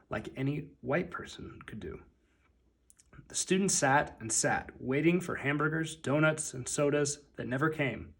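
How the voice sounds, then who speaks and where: Male read-aloud narrator, fairly high voice, some creak, deliberate emphatic phrasing, picture-book storytelling.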